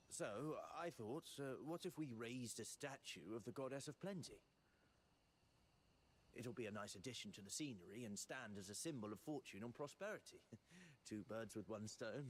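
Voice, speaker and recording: A man's voice: medium pitch, lively intonation, moderate pace, slight background noise, natural voice. An actor playing a worried male villager in a video game, who speaks hesitantly and rambles with fillers. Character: worried middle-aged male villager planning a statue.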